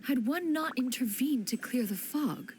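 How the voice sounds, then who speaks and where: Lofty, formal immortal adeptus. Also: female voice, high-pitched, expressive, a little slow, darkish tone, a little hiss or hum. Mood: haughty, fond. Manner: archaic, lofty diction, refers to herself as one. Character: proud, formal female crane adeptus, ancient and wise. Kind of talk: scripted game dialogue, storytelling. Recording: game music behind the voice.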